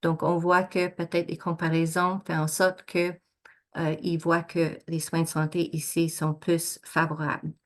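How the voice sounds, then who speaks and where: A woman researcher giving an academic presentation, talking through slides in a measured way with frequent hesitations and self-corrections. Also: low-pitched, completely flat delivery. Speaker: researcher presenting study results.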